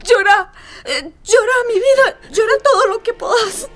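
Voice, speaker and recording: A woman's voice: very high-pitched, lively intonation, normal speed, over music. An actress with a Costa Rican accent playing a tender, grieving mother in a radio drama, speaking in a soothing, emotional way. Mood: tearful, consoling. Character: tender, grieving mother consoling her daughter.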